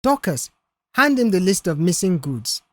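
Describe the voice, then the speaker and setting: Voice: mid-range voice, very animated, moderate pace, a bit bright, no echo. A narrator voicing a tense, earnest young male mechanic in an audiobook story. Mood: earnest, firm.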